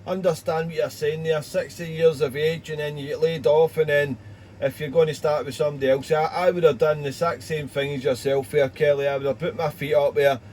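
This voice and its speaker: A man's voice: on the high side, fairly even intonation, fairly quick, darkish tone, a little hiss or hum. A Welsh man in his forties chatting casually over ham radio, rambling and repeating words. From Wales. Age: 40s.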